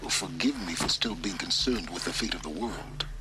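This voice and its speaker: A man's voice: deep voice, normal speed, close to an everyday voice. An actor playing a stern, condescending man in a video game, haughty and sardonic as he scolds and gives orders. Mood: cold, sardonic. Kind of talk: dramatic game dialogue. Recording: clear background noise.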